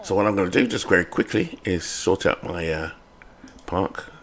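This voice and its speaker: A British man with a lowish voice talks casually over a computer baseball game, rambling from thought to thought. He hesitates and corrects himself often and keeps an easy, chatty flow. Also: moderate pace. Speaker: game channel host.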